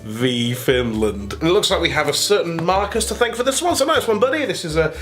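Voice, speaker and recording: A man's voice: fairly high pitch, sing-song, a little fast. A man with a stuffy, nasal cold, chatting jokily as he unboxes toys for his channel. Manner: chatty, jokey, self-amused. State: congested, nasally. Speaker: toy unboxing host. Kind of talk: casual unboxing chat.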